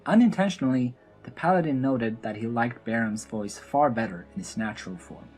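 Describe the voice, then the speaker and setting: A woman's voice: very deep voice, brisk, a little mellow, husky, faint music behind, poor audio. A woman narrating a scripted fantasy story for an audio drama.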